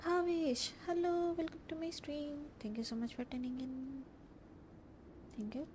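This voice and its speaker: A woman's voice: high-pitched, steady tone, measured pace, darkish tone, slight background noise. A woman with an Indian accent chatting casually as she livestreams a game, switching between two languages. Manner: mixes Hindi and English. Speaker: game streamer. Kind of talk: casual livestream chat and gameplay reactions.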